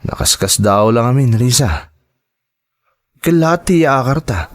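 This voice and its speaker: Troubled, suspicious husband played by a male actor, questioning and emphatic, very animated pitch, family audio drama. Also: unhurried, close to an everyday voice. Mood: suspicious, brooding. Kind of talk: Ilocano audio drama acting.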